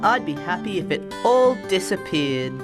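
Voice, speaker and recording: A woman's voice: fairly low pitch, very animated, moderate pace, pitched above the performer's own voice. A woman voicing a sulky, complaining young boy in a children's storybook. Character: sulky young boy who dislikes the bush. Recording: guitar music behind voice.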